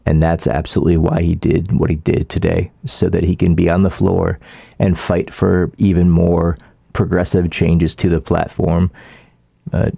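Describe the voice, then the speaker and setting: A man giving casual, opinionated political commentary as a vlogger, in an informal, halting, rambling way. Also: sits low, level tone, creaky, warm tone, slightly rough, no echo.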